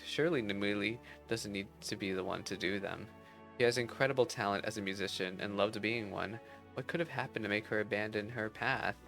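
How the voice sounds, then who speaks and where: An earnest, wistful musician from a fantasy game, read out by a man in a lowish voice. The words come politely and reflectively over background music. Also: fairly bright tone.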